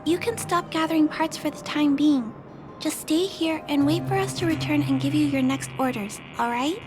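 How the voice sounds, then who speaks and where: A woman voicing a gentle, curious young girl in a video game, polite and musing thoughtfully aloud. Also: high voice, moderate pace, lightly acted. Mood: gentle, concerned. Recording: game music behind the voice.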